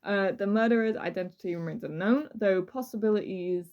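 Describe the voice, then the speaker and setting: A woman's voice: medium pitch, brisk, darkish tone, lo-fi sound. Casual woman telling true crime stories. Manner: informal, jokey, loosely conversational. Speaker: true crime podcast host.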